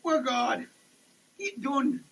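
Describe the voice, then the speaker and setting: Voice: sits high, animated, drawn-out words. Theatrical, wildly swinging dramatic reader. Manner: theatrical, swinging between shouted declamation and muttered asides. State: choking, coughing, gasping while being soaked with water. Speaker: performer. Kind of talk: dramatic reading of product label text, comedic sketch. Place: shower, indoors. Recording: noisy room with running shower water.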